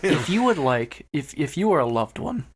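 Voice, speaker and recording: A man's voice: a little high, sing-song, normal speed, a crowd in the background. A man hosting a podcast in casual, wryly joking banter. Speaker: podcast host.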